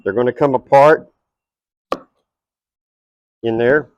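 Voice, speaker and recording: A man's voice: mid-range voice, slow, darkish tone, clean tone, no echo. A middle-aged man with a Texas twang, hosting a cooking show at an outdoor backyard table and chatting straight to his viewers.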